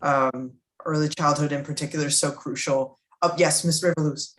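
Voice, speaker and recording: A woman's voice: deep voice, fairly even intonation, a little fast, low-quality recording. A woman chairing a public committee meeting, polite and procedural, with many thank-yous.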